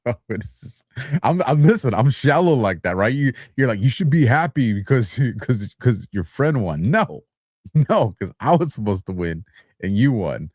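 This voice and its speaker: A man's voice: medium pitch, sing-song, a little slow, darkish tone, dry recording, clean studio sound. A man co-hosting a podcast in casual chat, rambling through a story in a self-deprecating way. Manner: rambling, self-deprecating, frequent restarts and laughs. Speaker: podcast co-host.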